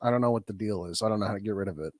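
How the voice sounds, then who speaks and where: A man co-hosting a podcast in casual chat, a bit stuffed up from a cold, talking in halting bursts with many restarts and easy laughs. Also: on the low side, darkish tone, dry recording, studio quality. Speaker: podcast co-host.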